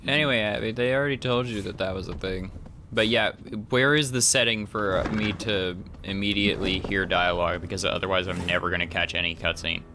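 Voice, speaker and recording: Blunt grumbly gamer guy, lowish voice but kinda sharp, chatting w friends. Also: steady tone, brisk. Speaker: player in a group gaming session. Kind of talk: casual gaming chat.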